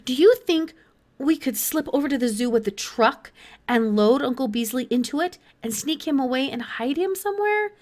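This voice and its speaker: A woman's voice: high voice, lively intonation, moderate pace, darkish tone, pitched above the performer's own voice. A woman voicing an anxious, hopeful young boy with an earnest, pleading manner in a children's audiobook. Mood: hopeful, urgent.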